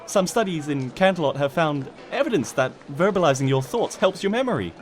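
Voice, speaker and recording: A man's voice: a little high, big pitch swings, a little fast, a faint crowd. A man voicing a flustered, indignant young female cartoon pony who is easily exasperated. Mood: flustered, evasive. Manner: stammering and muttering, snapping back at a narrator.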